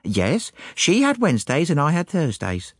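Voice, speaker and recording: An excitable young English maid for an audiobook, pitched very high. The voice swoops up and down as this working-class gossip chatters breathlessly and colloquially, in a dry recording. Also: male voice, moderate pace, breathy edge, no echo, exaggerated voice. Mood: chatty, confiding. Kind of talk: audiobook character voice.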